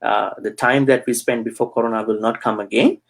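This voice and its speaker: A man from Sri Lanka, a Buddhist monk, answering interview questions with many pauses and fillers. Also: mid-range voice, fairly even intonation, creaky.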